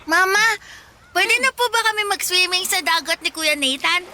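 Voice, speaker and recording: An excitable little boy in a radio drama. The voice is extremely high and sharp, childlike and eager, with faint ambience behind. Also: normal speed, a little hiss or hum, exaggerated voice. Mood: pleading. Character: excitable, pleading little boy, the younger brother.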